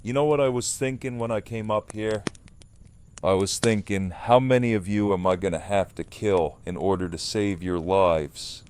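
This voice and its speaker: A man playing a hard, uncompromising survivor leader in an audio drama, stern and deliberate. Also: on the low side, fairly even intonation, darkish tone, slight background noise, lightly acted. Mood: stern, defiant.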